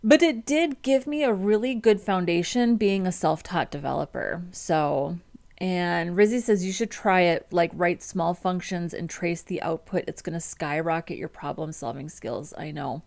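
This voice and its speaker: A woman's voice: lowish voice, moderate pace, gravelly, darkish tone. A woman in her thirties with a Midwestern American accent, chatting casually on a livestream and reading viewer comments, with many ums and restarts. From United States. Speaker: web developer streamer.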